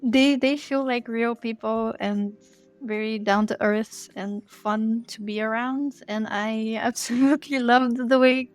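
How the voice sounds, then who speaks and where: A woman's voice: on the high side, fairly even intonation, a little slow, clear, resonant tone. A woman hosting a podcast interview. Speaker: podcast host.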